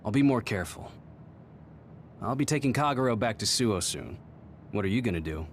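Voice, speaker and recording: An actor playing a kind, protective, earnest military captain in a video game. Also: male voice, fairly low pitch, expressive, fairly quick, a little hiss or hum. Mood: concerned, regretful. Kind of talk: English video game dub acting.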